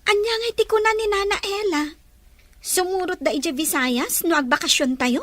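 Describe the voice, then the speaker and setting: A woman's voice: very high voice, steady tone, normal speed, a bit bright. A woman acting an inquisitive young confidante with a Filipino accent in an Ilocano audio drama.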